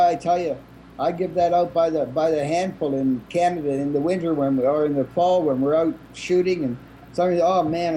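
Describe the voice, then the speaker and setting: A man guest in a casual chat, jokey and animated, speaking over a video call. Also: on the high side, slight background noise, rough recording. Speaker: interview guest.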